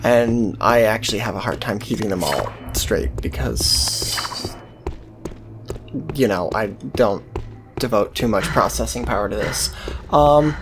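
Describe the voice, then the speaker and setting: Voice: medium pitch, animated, unhurried, music in the background. A game commentator talking casually and off the cuff, in a sweary, sardonic, offhand manner. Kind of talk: casual, improvised gameplay commentary.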